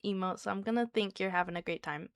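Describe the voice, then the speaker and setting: A woman streamer chatting casually with her viewers, with a soft, soothing voice and a chatty, giggly manner. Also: medium pitch, quick pace, clean tone, dry recording, clean studio sound. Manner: chatty and giggly, talks to chat by name.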